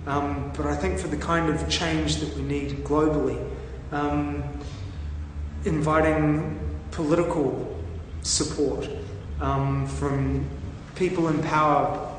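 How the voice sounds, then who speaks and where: A New man talks slowly in a somewhat high voice, stopping and starting as he thinks aloud. He is recorded from a distance in a very echoey room with a long reverb tail. Faint background hiss sits under his voice. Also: flat delivery. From New Zealand. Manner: slow, halting, thinking aloud with many ums. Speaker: discussion participant. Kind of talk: casual brainstorming chat.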